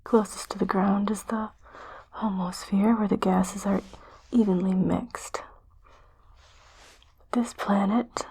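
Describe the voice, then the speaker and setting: A woman playing a calm, reassuring sci-fi doctor in a hushed, soothing whispered ASMR roleplay. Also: mid-range voice, vocal fry, breathy edge, heavily acted. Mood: gentle reassurance. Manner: slow, soothing, hushed delivery. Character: calm, reassuring female doctor on an alien-planet expedition.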